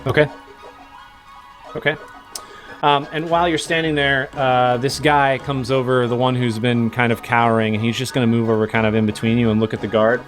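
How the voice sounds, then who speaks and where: A man narrating as game master, describing scenes with many pauses and fillers. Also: mid-range voice, level tone, faint music behind. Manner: hesitant, many ums and uhs.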